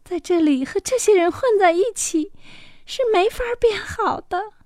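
A woman's voice: very high-pitched, expressive, a little slow, darkish tone, no room sound, studio quality. A woman voicing a proud, bitter young girl in a dramatized fairy tale, with plaintive, self-pitying delivery.

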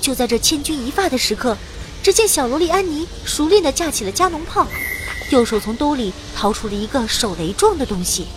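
A woman's voice: sits high, very animated, fairly quick, background noise. A woman playing a perky, self-admiring young magician who theatrically narrates an adventure in a fantasy audio drama. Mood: dramatic. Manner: theatrical, playful storytelling.

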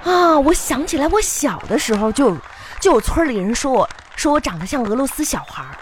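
A woman acting a vain, nagging, excitable wife with a Northeastern Chinese accent in a radio comedy sketch. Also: high voice, brisk, a little hiss or hum. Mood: coy, vain. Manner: scolding, theatrical, teasing. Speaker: radio comedy show host. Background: music.

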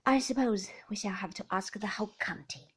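A brusque gentleman of the house speaks bluntly, with weary dry humor. A woman reads him in an audiobook with a breathy, husky voice. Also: medium pitch, brisk, lightly acted. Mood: irritated.

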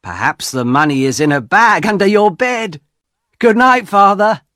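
A cheerful, excitable young son, as a male storyteller voices him, pitched high and pushing loud. He teases and coaxes with lively swings in his voice, recorded close and dry. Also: moderate pace, no echo. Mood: eager.

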